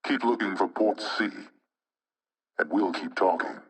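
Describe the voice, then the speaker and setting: An actor playing an anonymous male hacktivist spokesman in a video game propaganda broadcast, speaking in a measured, rhetorical, insinuating way with menace. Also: low-pitched, distant mic. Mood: ominous, preaching.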